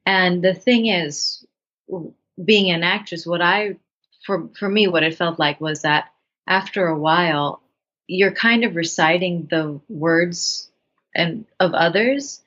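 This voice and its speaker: Earnest low-voiced woman in her thirties. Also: slow, fairly bright tone. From Bangladesh. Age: 30s. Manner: thoughtful, earnest, with frequent restarts. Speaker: guest, writer and actress. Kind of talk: reflective interview talk. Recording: dull lo-fi sound.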